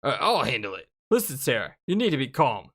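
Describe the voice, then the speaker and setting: A woman's voice: a bass voice, sing-song, a little fast, heavily acted. A woman voicing a teenage boy in a horror game who earnestly takes charge and steadies his friend. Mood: urgent reassurance.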